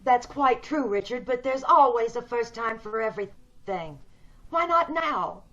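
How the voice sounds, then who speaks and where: A woman's voice: a little high, lively intonation, measured pace, sharp tone. A woman playing a bitter, wealthy, commanding woman in an old-time radio drama. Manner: cold, insistent, commanding. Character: wealthy, determined, bitter ugly woman scheming for a new body. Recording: dull video-call audio.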